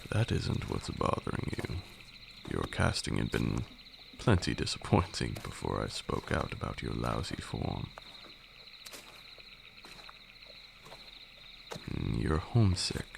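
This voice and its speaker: A man playing an ancient, haughty vampire lord in an ASMR roleplay, a condescending mentor with formal, archaic speech and a gravelly voice. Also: normal speed, exaggerated voice. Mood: impatient. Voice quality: very deep, gravelly. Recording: steady noise with night insects. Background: crickets and night insect ambience.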